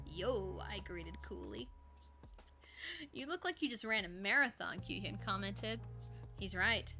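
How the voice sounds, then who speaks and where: A woman's voice: mid-range voice, pushed and bright. A woman casually reading a first-person story aloud on a live stream, informally, with ad-libbed asides and lightly voiced dialogue.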